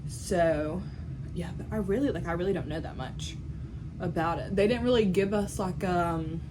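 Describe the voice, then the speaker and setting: A woman's voice: lowish voice, moderate pace, noisy background. An eighteen-year-old woman, talking casually to the camera in a home vlog, full of "like" and "um" fillers. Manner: chatty, lots of "like" and "um" fillers. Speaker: vlogger. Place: at home in a bedroom. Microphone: phone or camera on a tripod.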